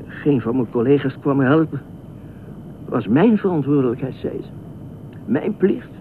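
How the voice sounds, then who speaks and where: A man's voice: mid-range voice, animated, moderate pace, natural voice. A man in a radio play acting an eccentric, talkative lifeguard, anxious and pleading, rambling and repeating himself. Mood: troubled, dreamy. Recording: tinny band-limited radio play, faint noise.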